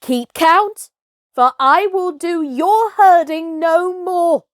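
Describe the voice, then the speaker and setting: A woman's voice: extremely high pitch, slow pace, dry recording, clean studio sound, voice pushed up. A woman voicing a bold, scornful young herdsboy raised by wolves, with archaic, commanding speech in a storybook reading. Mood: scornful, defiant.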